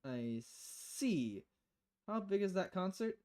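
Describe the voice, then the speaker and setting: A dry-humored female bartender in a visual novel, read out by a woman. She speaks in a lowered, subdued register with a deadpan, slightly hesitant manner, low-key throughout. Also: slow.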